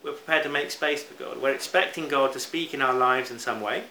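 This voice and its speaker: A man's voice: mid-range voice, fairly quick, bright, forceful tone. A British man preaching a sermon in an earnest, reflective manner, stressing key words as he goes. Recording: roomy echo, faint background noise.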